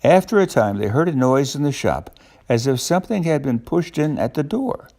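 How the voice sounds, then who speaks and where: Older male storyteller, creaky voice, lively intonation, children's book with simple explanatory asides. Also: medium pitch, moderate pace.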